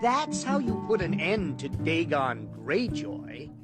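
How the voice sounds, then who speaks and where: Man voicing a slow, swooping, scornful hedge knight. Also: a little high, wildly swooping pitch, loud music behind the voice, heavily acted. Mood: scornful, blunt. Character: blunt, scornful medieval hedge knights in conversation. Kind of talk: audiobook storytelling with character voices.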